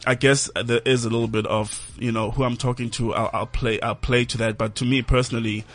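A man with a South African accent, a Zulu speaker, answering interview questions in a measured, reflective way. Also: medium pitch, level tone. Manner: measured, reflective, softening toward the end of phrases. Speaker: film director, studio guest. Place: radio studio.

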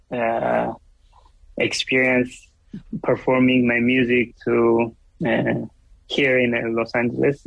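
A man's voice: medium pitch, creaky, a bit bright, slightly rough, no echo, low-quality recording. A man from Ethiopia with an Ethiopian accent, speaking English as a second language, searching for words as an interview guest. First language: Amharic. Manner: halting, searching for words, many fillers. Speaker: musician guest. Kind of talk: interview over a line.

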